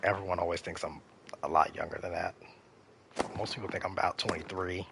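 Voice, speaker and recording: A man's voice: deep voice, level tone, a little fast, heavy background noise. A man in his thirties chatting casually and rambling, repeating himself as he streams a game. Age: 30s. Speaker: gaming streamer. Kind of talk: casual chat while playing a game.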